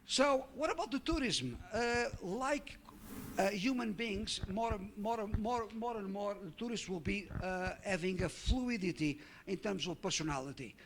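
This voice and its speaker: A middle-aged man gives a conference talk with slides. He talks haltingly, with many fillers and restarts, and asks the audience questions. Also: sits high, animated, a little hiss or hum. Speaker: conference speaker. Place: conference hall.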